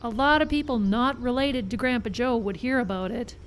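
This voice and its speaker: Woman playing skeptical film colleague, high voice, dry, sensible, live radio play, faint background noise. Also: fairly quick, close to an everyday voice. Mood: skeptical, patient. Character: skeptical, level-headed woman on a film crew. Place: live radio show in a park.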